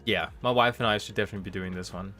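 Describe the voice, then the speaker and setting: A man's voice: fairly low pitch, fairly even intonation, very fast, pushed and bright, faint music behind. A man with a slight cold and a nasal sound, chatting casually and thinking aloud while streaming a game from home. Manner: rambling, thinking aloud with restarts. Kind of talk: casual live-stream commentary. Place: home room, rain outside.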